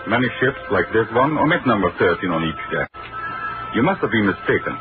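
A courteous ship's doctor, calm and formal. His voice is lowish but lively in its rise and fall. The old recording sounds tinny and phone-like, with music underneath. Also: fairly quick. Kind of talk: 1940s radio acting.

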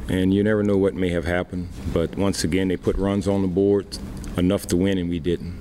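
A middle-aged baseball manager answering reporters' questions at a post-game press conference, plain-spoken and unhurried with many fillers, into a desk microphone. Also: male voice, deep voice, level tone, slight background noise.

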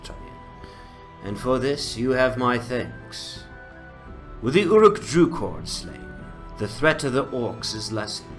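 A man's voice: medium pitch, a bit bright. A man voicing a noble, grateful elf captain in a formal, stately manner for a fantasy game dramatization.

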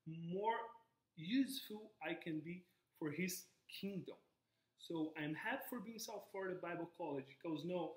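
A man in his twenties from Brazil with a Brazilian Portuguese accent, earnestly sharing his personal testimony to a camera in a living room. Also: high-pitched. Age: 20s. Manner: earnest, gestures with both hands. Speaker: Bible college student. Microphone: camera in front of him. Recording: echoey room.

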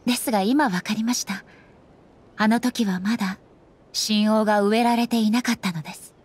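A woman voicing a calm, earnest deity ruler in an anime game, speaking formally and politely with composure. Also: mid-range voice, normal speed, slightly rough, close to an everyday voice. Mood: earnest, composed. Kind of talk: Japanese anime game voice acting.

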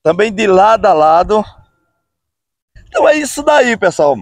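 Upbeat high-voiced man outdoors. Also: sing-song, no room sound, studio quality. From Brazil. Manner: upbeat, drawn-out phrases, frequent "hein, pessoal?" tags. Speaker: channel host. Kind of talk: casual vlog narration. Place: outdoors on a road bridge over a river. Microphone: phone camera at arm's length.

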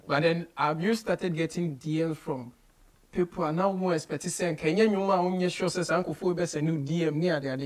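A Ghanaian man with a high-pitched voice and a Ghanaian accent hosts a relaxed interview. He moves freely between Twi and English in a casual, conversational style. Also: normal speed. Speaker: radio show host. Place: small studio office, indoors.

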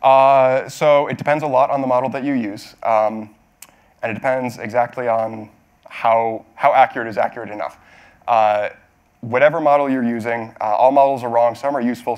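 A man in his thirties answering questions as the speaker at a technical talk, explaining in a halting way with hedges and self-corrections. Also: mid-range voice, fairly even intonation, a little mellow. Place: auditorium stage at a podium.

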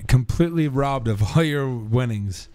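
A man's voice: medium pitch, lively intonation, normal speed, darkish tone. A man co-hosting a live radio show, slightly drunk, cracking jokes and mock-scolding the others. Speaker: radio co-host.

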